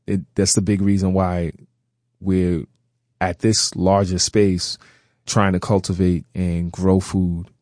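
A man's voice: sits low, little pitch movement, slow, darkish tone, no echo, clean studio sound. A middle-aged American man from Brooklyn, speaking conversationally as an interview guest. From Brooklyn, New York. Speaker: interview guest, farmer and author.

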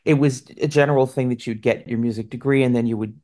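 A woman in her fifties with a low voice, an opera singer answering interview questions earnestly and speaking warmly of her mentors. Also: steady tone, fast talker. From New York State, USA. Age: 50s. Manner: earnest, effusive about mentors.

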